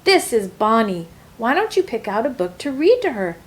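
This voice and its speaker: A woman's voice: on the high side, sing-song, normal speed. A woman voicing a kindly, encouraging female librarian in a children's picture book. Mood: inviting. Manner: encouraging, inviting.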